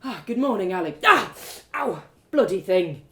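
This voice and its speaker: A woman acts out a busy storybook shoemaker, a man who grumbles yet welcomes warmly. His delivery is hearty and exclamatory, jumping about in pitch. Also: very animated, normal speed, roomy sound. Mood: startled, grumbling. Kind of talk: storybook character voice.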